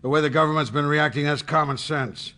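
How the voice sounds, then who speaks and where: An actor playing a gruff, impatient newspaper editor who talks brusquely and swears, in a naturalistic audio drama. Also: male voice, on the high side, steady tone, brisk, pushed and bright. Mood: pressing.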